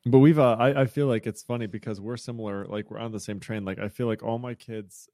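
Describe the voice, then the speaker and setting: An American man co-hosts a casual podcast with a fairly low voice. He rambles freely and leans on fillers. The sound is dry and close, picked up by wireless earbuds. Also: fairly even intonation, brisk, warm tone, no room sound. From United States. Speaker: podcast co-host.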